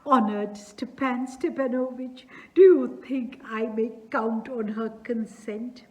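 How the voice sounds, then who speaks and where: A woman's voice: medium pitch, animated, unhurried, lightly acted. A woman reading aloud as a nervous, flustered male landowner who stammers while asking for a daughter's hand.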